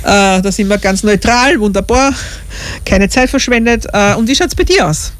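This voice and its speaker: A woman's voice: mid-range voice, normal speed. A woman with an Austrian accent hosting a casual live radio interview in a studio, chatting and laughing easily with guests. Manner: chatty, laughs often, warm questions. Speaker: radio host. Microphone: studio microphone.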